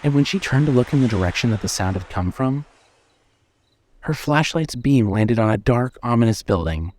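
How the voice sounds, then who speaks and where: A man narrating a scripted horror story in a measured, suspenseful way. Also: medium pitch, animated, a little mellow, slight background noise.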